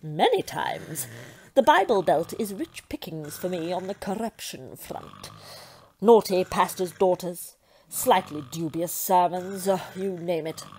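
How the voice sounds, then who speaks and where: A woman's voice: fairly low pitch, lively intonation, unhurried, a little mellow, a little hiss or hum. A woman playing a vain, imperious lady devil with theatrical menace in an audio comedy drama. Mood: smug.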